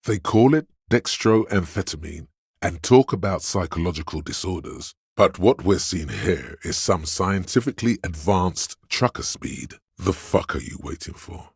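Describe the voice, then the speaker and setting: A man voicing a seductive, hedonistic inner voice of craving in a video game, gravelly and husky, coaxing slyly and savouring his words. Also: low-pitched, expressive, measured pace, no echo, studio quality, exaggerated voice. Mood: eager, tempting. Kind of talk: dramatic video game narration.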